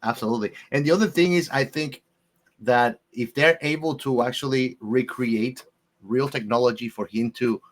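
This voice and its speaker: A man's voice: medium pitch, moderate pace. A man co-hosting a casual film discussion, speaking emphatically and repeating phrases to drive his points home. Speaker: movie discussion podcast co-host.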